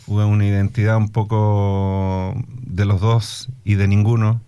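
A middle-aged man with a Chilean accent tinged with Australian English. His voice is dark, mellow and fairly low. He answers reflectively as an interviewee, often hesitating for the right word and mixing in English. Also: flat delivery, measured pace, clear, resonant tone. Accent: Chilean Spanish with Australian English influence. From Australia, Chilean immigrant family. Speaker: musician and music educator being interviewed.

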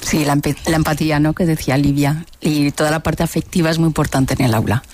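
A woman French teacher explaining her view on a panel in a measured way. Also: low-pitched, fairly even intonation, darkish tone. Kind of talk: panel discussion.

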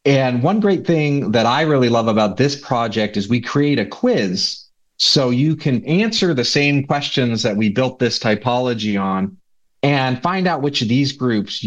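Man explaining over a dull line. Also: mid-range voice. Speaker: researcher guest. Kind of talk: interview answers.

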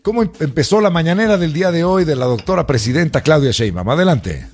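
A man's voice: a little high, lively intonation, fast talker, over loud music. A Mexican man co-hosting a casual chat show, teasing and chatty. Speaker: co-host. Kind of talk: casual chat about football and politics.

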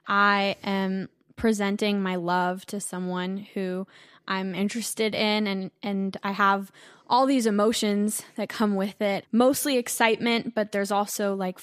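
A woman's voice: medium pitch, fairly even intonation, unhurried, a bit bright, clear, resonant tone. A teenage girl from New York, a young classical singer, talking earnestly in a radio interview. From Brooklyn, New York. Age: teens. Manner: earnest, youthful, sometimes laughing.

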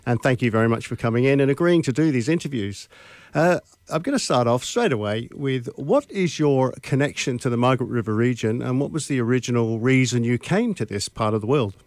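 Chatty radio host. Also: male voice, medium pitch, animated, brisk, a little mellow, no echo. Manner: friendly, chatty, prone to digressions. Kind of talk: community radio interview. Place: radio studio.

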